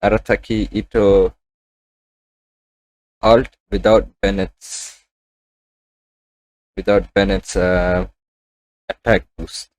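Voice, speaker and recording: A man doing casual live gameplay commentary as a streamer, haltingly thinking out loud. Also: deep voice, fairly even intonation, no room sound, low-quality recording. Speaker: gaming streamer. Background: game music.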